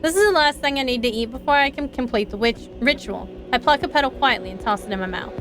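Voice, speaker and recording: High-voiced woman streaming a visual novel. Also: fast talker. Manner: casual, sweary. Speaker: VTuber game streamer. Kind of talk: live visual novel playthrough, reading aloud with asides. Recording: game music behind the voice.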